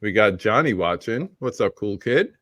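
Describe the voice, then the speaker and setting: A middle-aged man hosts a live stream roundtable in a relaxed, easygoing way. His voice sits a little low. The sound is dry and close. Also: moderate pace, no room sound. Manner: laid-back, shouting out chat viewers. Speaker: host.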